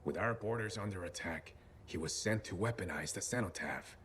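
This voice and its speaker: An actor playing a slippery, eccentric captive scientist in a video game, mocking and patronizing in a theatrical way. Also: male voice, low voice, moderate pace, a bit bright, a little hiss or hum. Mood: smug, taunting. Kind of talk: video game cutscene acting.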